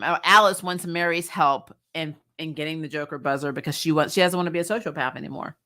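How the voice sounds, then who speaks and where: A woman's voice: on the low side, bright, forceful tone. A woman chatting casually as host of a recap stream, blunt, sarcastic and emphatic, swearing freely and sounding exasperated. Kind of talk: casual opinionated livestream review chat.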